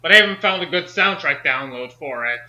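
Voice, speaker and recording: Male co-reader, high-pitched and piercingly bright, casual geeky joker. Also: lively intonation, a little fast, muffled recording. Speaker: co-reader and commentator. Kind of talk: casual joking commentary and mock read-aloud.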